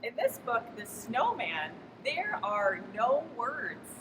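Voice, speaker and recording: Lively high-voiced woman in reverberant space. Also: slow pace. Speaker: children's librarian. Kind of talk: book recommendation addressed to children. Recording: reverberant hall, clear background noise.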